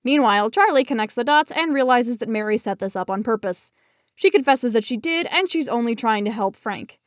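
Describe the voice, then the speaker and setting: A woman's voice: fairly high pitch, expressive, brisk, no room sound. A woman narrating a scripted recap of a musical, chatty with asides to viewers, her voice a little off from a recent flu. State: getting over the flu, voice a bit off. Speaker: host summarizing a musical.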